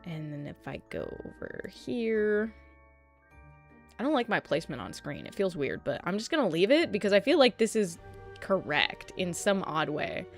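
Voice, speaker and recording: A woman's voice: mid-range voice, big pitch swings, normal speed. A woman livestreaming in a casual way, chatting with her viewers, swearing often and laughing a lot, with a sleepy voice. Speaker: streamer. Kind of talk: casual livestream chat while setting up a 3D model. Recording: faint music underneath.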